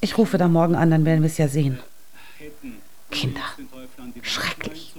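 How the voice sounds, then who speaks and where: A woman's voice: deep voice, steady tone, normal speed, darkish tone, close to an everyday voice. An actress in a German radio drama playing a gossipy, appalled woman with an insistent, exclamatory manner. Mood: agitated, insistent. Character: shocked, gossipy woman fixated on the television news.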